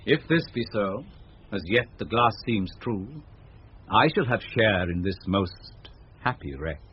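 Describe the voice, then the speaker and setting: An actor playing a dignified, lovestruck nobleman, speaking deliberately in a radio Shakespeare play. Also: fairly low pitch, animated, slight background noise. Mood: tender, stately. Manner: stately, deliberate. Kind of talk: 1970s British radio Shakespeare acting. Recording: muffled old radio broadcast recording.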